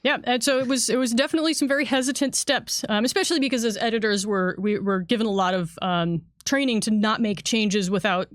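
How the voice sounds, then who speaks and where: A woman with a slightly high voice talks very quickly and informally as a game designer on a panel. She explains things off the cuff, and the sound is dry and close. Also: dry recording. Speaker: game designer, former editor. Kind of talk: unscripted panel chat.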